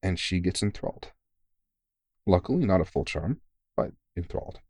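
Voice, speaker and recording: A low-pitched man performing as a battle-worn vampire hunter in an ASMR audio roleplay. He is tired but kind, reassuring a rookie with teasing, informal, sweary talk. The sound is dry, with very little room to it. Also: animated, normal speed, a little mellow, husky, dry recording, full character voice. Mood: animated storytelling. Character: tired, kindly veteran male vampire hunter mentoring a rookie.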